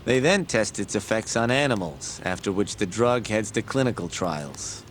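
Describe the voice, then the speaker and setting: An actor playing a patient, earnest medical expert who explains things in a measured, articulate, didactic way in a video game. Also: male voice, lowish voice, animated, a little hiss or hum, close to an everyday voice. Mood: earnest explaining. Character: learned male medical expert, patient, earnest, wryly teasing lecturer. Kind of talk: English video game dub, explanatory dialogue. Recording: background music under the voice.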